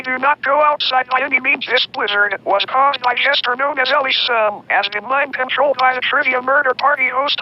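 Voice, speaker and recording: A man's voice: very high-pitched, muffled recording. A man playing a formal weather alert announcer reading a scripted emergency warning.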